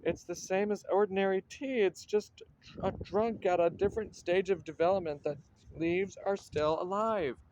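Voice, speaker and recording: A man's voice: sits high, fairly even intonation, moderate pace, a little mellow, highs cut off, a little hiss or hum, close to an everyday voice. An actor playing a wry, pedantic man, delivering dry, clipped lines and hardboiled pastiche narration. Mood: patient. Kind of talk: radio-drama acting with hardboiled pastiche narration.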